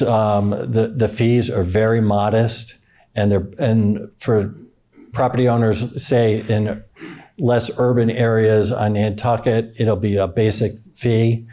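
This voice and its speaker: A man working for the town, answering a panel question haltingly with many ums and uhs. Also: fairly low pitch, warm tone. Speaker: town stormwater official. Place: public forum room.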